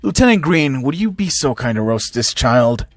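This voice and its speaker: A man's voice: mid-range voice, big pitch swings, normal speed, dry recording. A man voicing a smug army general who makes threats with mock politeness. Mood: smug menace.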